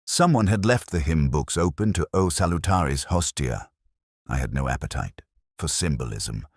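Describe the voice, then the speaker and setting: A man giving a measured, brooding first-person narration of a detective story. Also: sits low, no room sound, studio quality. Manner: measured, brooding, literary delivery.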